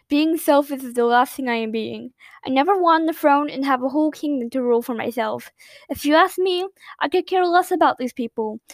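A bitter young prince who speaks coldly and dismissively, read by a woman in her own fairly high voice. The sound is close and dry. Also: no echo. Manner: cold, dismissive. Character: cold, bitter young man, reluctant prince.

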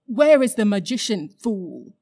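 Jadis, a towering witch queen, as a woman reading a storybook puts her on, pours cold scorn on everyone before her. Her commands come out loud and forceful, with a dark, mellow weight behind every word. She never raises the pitch, only the pressure. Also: normal speed, close to an everyday voice. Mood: imperious. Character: imperious, cruel giant witch queen.

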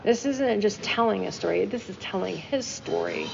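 A woman's voice: mid-range voice, expressive, moderate pace, gravelly, darkish tone, long reverb tail, lo-fi sound, a little hiss or hum. A woman co-hosting a casual talk show, discussing music emphatically and repeating phrases for stress. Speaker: podcast co-host. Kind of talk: casual discussion of song lyrics.

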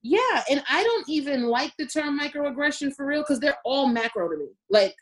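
A woman in her forties speaks with an emphatic, animated manner in a relaxed podcast conversation. Her voice sits a little high for a woman and she tells her points like stories. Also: moderate pace. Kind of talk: casual panel discussion.

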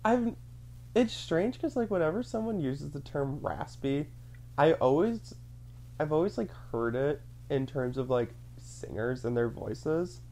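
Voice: mid-range voice, expressive, a little slow, dark tone, a little hiss or hum. A speaker chatting casually and telling a rambling story, full of fillers and acted-out inner quotes. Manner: rambling, filler-heavy, acts out own thoughts in quotes.

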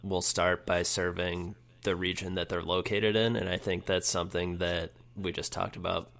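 A man's voice: low voice, little pitch movement, moderate pace, gravelly, fairly bright tone. A man co-hosting an interview, asking questions in an easygoing conversational way. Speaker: podcast co-host.

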